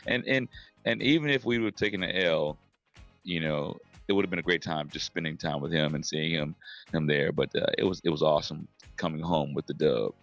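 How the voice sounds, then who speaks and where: A middle-aged man co-hosting a sports podcast, his voice hoarse and raspy after losing it at a game. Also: sits low, dry recording, muffled recording. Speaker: podcast co-host. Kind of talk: casual sports chat.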